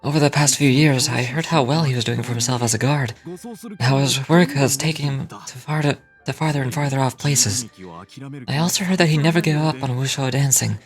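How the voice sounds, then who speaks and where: A man's voice: medium pitch, fairly quick, music in the background, natural voice. Man playing a worried middle-aged father, earnest and wistful. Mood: anxious, regretful. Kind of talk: video game dialogue.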